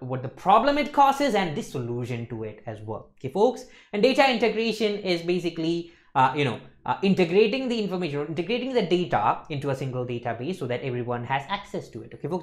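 Young male lecturer, swooping lively intonation, emphatic teaching delivery. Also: a little high. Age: young adult. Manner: emphatic, repeats checking tags like "okay, folks" and "isn't it". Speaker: accountancy lecturer. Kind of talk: explanatory lecture to camera. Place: indoor lecture studio.

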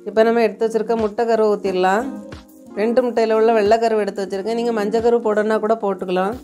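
Lady doing a recipe voiceover, pretty flat even tone, homely plain talk, bit of music behind. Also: medium pitch, fast talker. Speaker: home cooking channel host. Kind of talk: recipe narration, step-by-step instruction.

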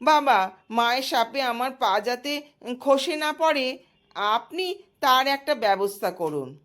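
Woman voicing an aggrieved, high-voiced boy god. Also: expressive, takes it slowly, fairly bright tone, full character voice. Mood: aggrieved, pleading. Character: aggrieved boy god complaining to his father.

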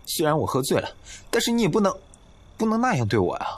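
A man's voice: fairly high pitch, wildly swooping pitch, quick pace, slight background noise. A man playing a proud, flustered young male college student in an audio drama, indignant and protesting. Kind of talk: Chinese audio drama acting.

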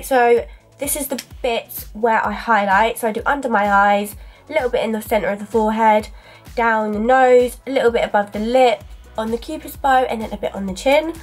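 A young woman with a stuffy, cold-thickened voice, talking a little high and breezy as she shows her makeup routine. She is close to the camera in a small room at home, and faint music plays underneath. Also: moderate pace. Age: 20s. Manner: chatty, breezy, lots of fillers, drifts softer while working. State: congested from a cold. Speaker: beauty vlogger. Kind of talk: makeup tutorial chat. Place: home dressing room, indoors. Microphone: camera close in front.

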